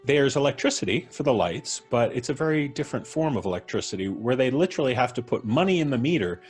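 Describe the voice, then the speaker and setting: A man hosting a casual live stream, presenting in a courteous, unhurried way. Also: medium pitch, animated, a little mellow, husky, faint music behind. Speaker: podcast host introducing the cast.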